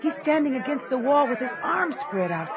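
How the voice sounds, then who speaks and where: Awestruck, very high-voiced onlooker. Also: male voice, very animated, moderate pace. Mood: amazed. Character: amazed onlooker in a crowd, awestruck. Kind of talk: audio drama acting. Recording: muffled, crowd noise behind.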